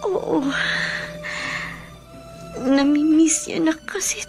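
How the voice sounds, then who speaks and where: A woman acting a weak, grateful, devout new mother in a radio drama, her voice often breathy. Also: high voice, extremely slow, music in the background, heavily acted. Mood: weak, tender.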